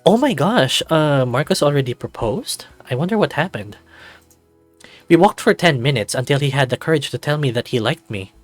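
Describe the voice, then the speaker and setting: A man's voice: on the high side, animated, normal speed, no echo, faint music behind. A man reading a visual novel as a narrator, with casual, musing asides. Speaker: visual novel reader and commentator.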